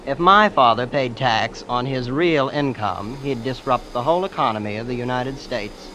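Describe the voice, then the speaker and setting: A man's voice: mid-range voice, normal speed, pushed and bright, soft background music, close to an everyday voice. An actor playing an aloof, rich prep-school young man who boasts coolly in a 1940s radio drama. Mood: smug. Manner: cool, matter-of-fact boasting. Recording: noisy vintage radio recording.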